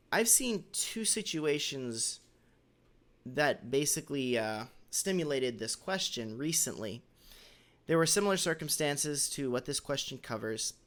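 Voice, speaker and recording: A man talks through a developer lesson in an easygoing, measured way, his voice still hoarse from a cold. He pauses a little as he goes and clears his throat now and then. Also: mid-range voice, fairly bright tone. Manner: measured, slightly halting, with occasional throat clearing. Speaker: developer educator hosting a weekly question. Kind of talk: casual instructional talk.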